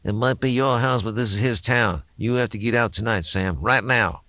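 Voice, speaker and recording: A man's voice: on the low side, normal speed, sharp tone, no room sound, studio quality, pitched above the performer's own voice. A man voicing a cold, bullying armed enforcer in an audiobook, giving intimidating orders.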